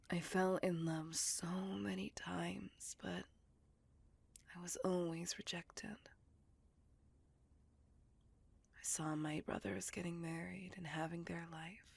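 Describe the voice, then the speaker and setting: A woman plays a sorrowful, bitter vampire woman in a whispered ASMR roleplay. Her voice is very breathy and slow, with a lot of creak at the edges. She confides her past intimately, as if to one listener. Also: on the low side, full character voice. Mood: wistful, wounded. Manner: slow, intimate, confessional storytelling. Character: beautiful, melancholy, bitter female vampire recounting her past. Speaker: voice actor in audio roleplay.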